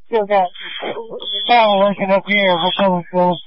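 A man's voice: high voice, fairly even intonation, normal speed, dull audio, background noise. A man giving short firefighter reports over a two-way radio.

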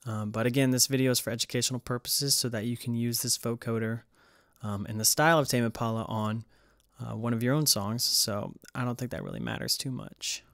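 A man's voice: medium pitch, expressive, a little fast, gravelly, fairly bright tone, dry recording, studio quality. A man giving a casual, hesitant tutorial voice-over as he explains music production. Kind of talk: casual screen-recorded tutorial narration.

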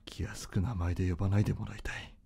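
A man's voice: sits low, little pitch movement, fast talker, vocal fry, dark tone, a little hiss or hum. A man playing a devoted, intensely emotional, anguished young man in a Japanese radio drama. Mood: bitter anger.

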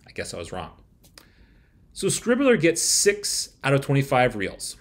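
A man in his thirties giving a frank, critical software review from a room at home, talking into a desk webcam. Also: medium pitch, huge pitch swings, measured pace. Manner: frank, critical, plain-spoken. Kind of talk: casual video review. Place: home room with window blinds.

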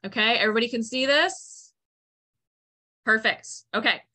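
A woman's voice: a little high, moderate pace, bright, forceful tone, breathy edge. A young woman farmer giving a chatty, upbeat online presentation with many fillers, speaking over a video call. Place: room at home, indoors.

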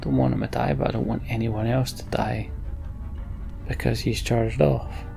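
A man's voice: mid-range voice, steady tone, moderate pace, gravelly, dark tone, faint music behind. A man hosting a let's play, casually muttering his thoughts in short fragments and reading story text aloud.

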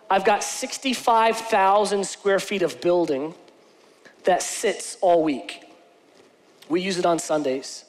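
A pastor in his forties talks with earnest, conversational energy and often restarts his sentences. His voice sits high for a man. It rings out through a large church hall with a long reverb. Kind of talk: sermon with church announcements. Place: large church stage with lighting.

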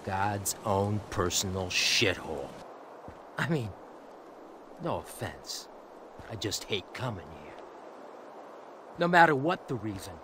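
An actor playing a menacing, smug biker villain, taunting theatrically in a gravelly voice for a video game. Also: male voice, fairly low pitch, sing-song, slow, long pauses, noisy background. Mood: smug, threatening. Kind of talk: video game cutscene acting.

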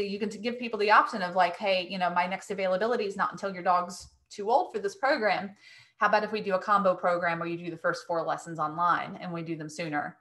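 A woman's voice: fairly low pitch, sharp tone. A woman in her thirties, a business coach casually answering questions as host of an online webinar.

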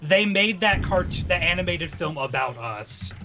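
A man co-hosting a game stream, trading quick wisecracks in casual banter. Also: high-pitched, animated, noisy background. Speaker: stream co-host.

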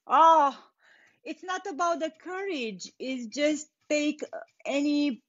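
A woman's voice: sits high, animated, slow, fairly bright tone, muffled recording. A young woman from Romania with a Romanian accent, chatting unhurriedly through a live furniture painting tutorial and laughing easily. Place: indoor workshop with bare wall.

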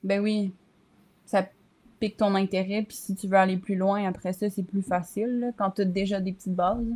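A woman's voice: medium pitch, flat delivery, moderate pace, warm tone. A young woman with a Quebec French accent talking casually in a group discussion over a video call. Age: young adult. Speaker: social work student.

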